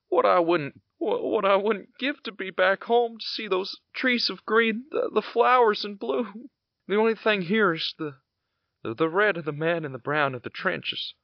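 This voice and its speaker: An actor playing a gentle, tired soldier father in an audio drama, speaking wistfully and haltingly as he longs for home. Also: high voice, gravelly, no echo, muffled recording. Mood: wistful, longing. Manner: wistful, halting, stammering delivery.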